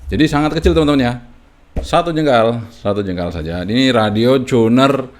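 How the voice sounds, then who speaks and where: A man's voice: mid-range voice, animated. A man gives a casual hands-on tutorial as a hobbyist presenter, explaining piece by piece and often tagging phrases with a short confirming word. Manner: halting, piecemeal explaining with frequent "ya" tags.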